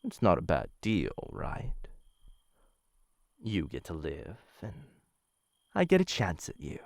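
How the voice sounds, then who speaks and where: Man acting a deep-voiced, flirtatious assassin, swooping intonation. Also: wildly swooping pitch, creaky, dry recording, studio quality, exaggerated voice. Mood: persuasive, playful.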